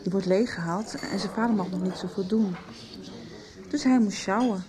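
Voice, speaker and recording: An actress plays a friendly, easygoing young woman in a radio drama. Her voice is of average pitch, with people murmuring in the background. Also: normal speed, natural voice. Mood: matter-of-fact. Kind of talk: Dutch radio soap acting. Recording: background chatter.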